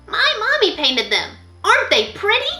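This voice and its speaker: A woman's voice: very high-pitched, huge pitch swings, moderate pace, sharp tone, roomy sound, soft background music, pitched above the performer's own voice, heavily acted. A woman voicing a proud, touchy little girl from a storybook, chatty and showy.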